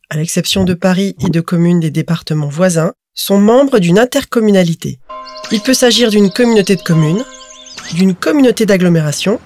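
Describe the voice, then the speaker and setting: A woman giving scripted narration for an explainer video, in a clear, measured, informative manner. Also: medium pitch, a little mellow, no echo, studio quality.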